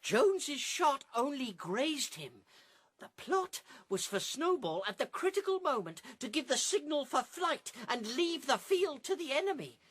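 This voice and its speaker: A woman's voice: sits high, very animated, measured pace. A woman playing a glib, scheming propagandist pig in a radio drama, insistent and slyly persuasive.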